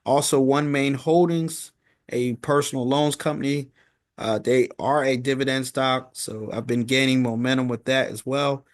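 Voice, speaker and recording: A man hosting an informal stock market recap, talking in a halting, repetitive way and often checking in with "okay?". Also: medium pitch, fairly even intonation, no echo, studio quality.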